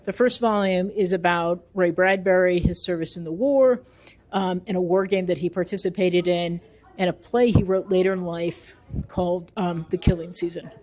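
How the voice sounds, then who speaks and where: A woman's voice: on the low side, fairly even intonation, moderate pace, darkish tone, poor audio. A middle-aged woman, an author and researcher, answering interview questions conversationally in an emphatic, opinionated, explanatory manner.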